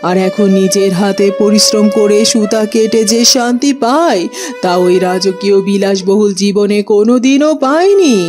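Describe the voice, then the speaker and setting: A woman's voice: fairly high pitch, close to an everyday voice. A woman playing a repentant former schemer in a cartoon, now humble and grateful, speaking earnestly and reflectively. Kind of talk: cartoon voice acting.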